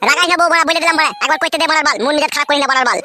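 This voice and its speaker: A man's voice: extremely high pitch, fairly even intonation, very fast. A man voicing a whiny, foul-mouthed young husband in an amateur comic cartoon, crude and pleading in his delivery. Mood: insistent, angry.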